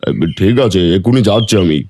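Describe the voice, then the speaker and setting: Man playing a deep, henpecked village husband. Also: fast, a little mellow, slight background noise, natural voice. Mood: evasive. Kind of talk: Bengali moral-story cartoon dubbing.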